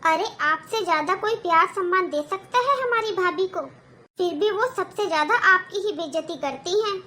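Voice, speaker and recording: A woman's voice: extremely high pitch, moderate pace, bright, forceful tone. A woman voicing an outspoken, indignant younger sister in a cartoon moral story, protesting and arguing. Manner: protesting, argumentative.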